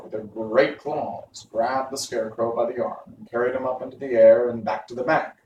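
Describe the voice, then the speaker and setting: Guy reading a kids story out loud, medium pitch, sounds roomy and a bit far. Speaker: narrator reading aloud. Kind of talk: storybook narration. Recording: roomy, distant mic, faint noise.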